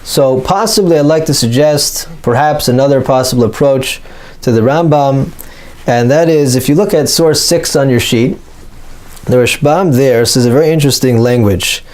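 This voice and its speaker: A man's voice: mid-range voice, moderate pace, darkish tone. Male Talmud teacher giving an explanatory lecture. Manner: poses rhetorical questions; mixes Hebrew and Aramaic terms into English. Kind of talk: explanatory religious lecture working through source texts.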